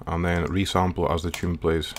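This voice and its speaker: Low-voiced man explaining music software. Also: little pitch movement, moderate pace, very noisy. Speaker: music producer giving a tutorial. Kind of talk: casual screen-recorded software tutorial. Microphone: voice-over recorded while working at computer.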